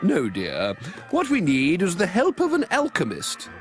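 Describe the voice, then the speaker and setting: Guy doing a dry calm plotting librarian woman, unhurried delivery. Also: faint music behind, full character voice. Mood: scheming calm. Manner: low, measured, dry and calm.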